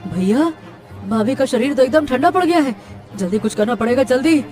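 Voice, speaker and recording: An actor voicing a decisive, hurried village man who takes charge in a Hindi cartoon story. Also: sits high, animated, a little mellow, faint music behind. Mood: urgent.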